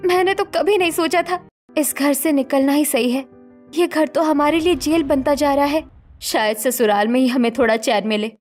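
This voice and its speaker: A woman's voice: sits high, moderate pace, darkish tone, soft background music, natural voice. A woman voicing a young college girl in a cartoon story, a stifled daughter who speaks earnestly and plaintively. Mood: resentful, sad. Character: young college girls, stifled, timid yet resentful daughters.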